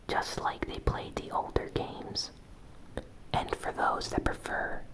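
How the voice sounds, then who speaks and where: A woman narrates news in ASMR style, entirely in a breathy, unvoiced whisper. Her pace is slow and deliberate. She sets out each item softly, in short separate phrases, as the host of a show. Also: rough recording.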